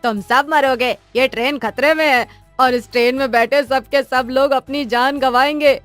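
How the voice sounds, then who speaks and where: A woman's voice: high voice, steady tone, normal speed, fairly bright tone, no echo, faint music behind, pitched above the performer's own voice. A woman voicing an agitated, crazed man who gives insistent, ominous warnings in a cartoon story.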